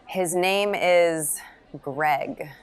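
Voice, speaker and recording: An actress playing a teasing, affectionate middle-aged aunt in an audio drama, wry and playful, stretching words for emphasis. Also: female voice, fairly low pitch, extremely slow, smooth. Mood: skeptical, wry. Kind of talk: naturalistic audio drama dialogue.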